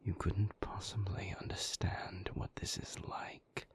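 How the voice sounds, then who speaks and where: Man acting a low, whispery, brooding vampire boyfriend. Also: little pitch movement. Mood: tense, dismissive. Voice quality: breathy, creaky near-whisper. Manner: languid, formal, measured phrasing. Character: moody, suave male vampire boyfriend, guarded and dismissive. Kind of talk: intimate audio roleplay, close whispered acting.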